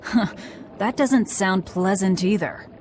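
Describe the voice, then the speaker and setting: A woman's voice: medium pitch, slow pace, a little mellow, slight background noise, natural voice. An actress playing a guarded young woman demon who devours her enemies, speaking in a curt, cold manner in a video game cutscene.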